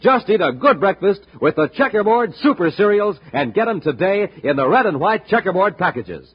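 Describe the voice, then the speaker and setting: A man's voice: sits high, very animated, moderate pace, breathy edge. A man announcing and narrating a 1950s radio show in a dramatic, punchy scripted style. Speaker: announcer and pitchman. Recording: noisy, muffled 1950s radio recording.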